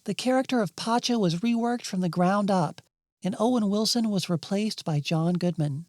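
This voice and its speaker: A woman's voice: medium pitch, normal speed, a little mellow, dry recording, studio quality. A woman hosting and narrating a scripted video essay. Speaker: narrator and host.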